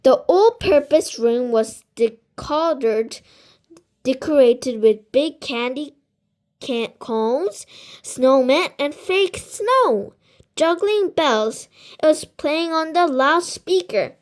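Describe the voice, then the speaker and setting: Woman w high voice reading a kids book out loud, slow n halting, trips on words. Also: expressive. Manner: halting, with stumbles over words. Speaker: amateur book reader. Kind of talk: reading a children's storybook aloud.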